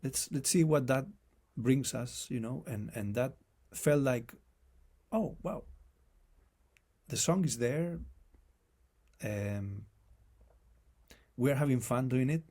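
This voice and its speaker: A man with a non-native accent, speaking as an interview guest in a reflective, halting way and often restarting his phrases. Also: medium pitch, mellow. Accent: non-native English accent. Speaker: guest musician. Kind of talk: casual conversation.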